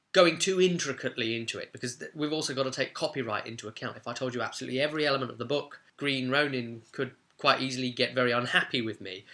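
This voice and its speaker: A man's voice: mid-range voice, bright, forceful tone. A man presenting an informal explainer on game rules in an enthusiastic, conversational manner. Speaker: presenter of a tabletop game guide.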